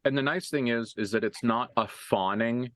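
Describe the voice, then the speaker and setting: A man's voice: medium pitch, moderate pace, no room sound, studio quality. A man acting as game master, improvising narration for a tabletop roleplaying game in a casual, mildly profane way.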